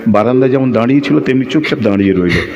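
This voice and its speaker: A man playing a lovestruck, brooding narrator of a secret romance in an audio drama, with poetic phrasing and pauses. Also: mid-range voice, expressive, a little mellow, lo-fi sound, lightly acted. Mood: brooding, reflective.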